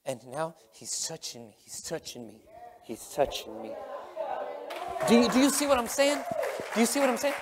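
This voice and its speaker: A man's voice: sits high, huge pitch swings, moderate pace, long pauses, a loud crowd. A middle-aged man preaching a sermon in an emphatic, repetitive way from a church stage. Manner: emphatic, repetitive, swinging from loud exclamations to hushed murmurs. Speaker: pastor preaching. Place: large church sanctuary, on stage. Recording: long hall reverb from sanctuary.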